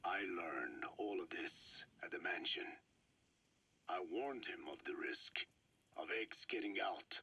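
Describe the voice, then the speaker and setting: An actor playing a grave, weary old man of science in a video game, speaking in a measured, husky, breathy rasp. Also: sits low, animated, bright, forceful tone, no echo, highs cut off, heavily acted. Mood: somber. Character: grave, weary old male scientist recounting dark experiments. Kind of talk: video game voice acting, slow explanatory account.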